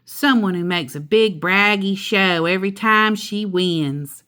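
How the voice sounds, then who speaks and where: A woman voicing a sensible, patient mother bear in a storybook, speaking in a measured, instructive way. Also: medium pitch, bright, forceful tone, clean tone. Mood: patient advice. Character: sensible, patient mother bear giving gentle moral lessons.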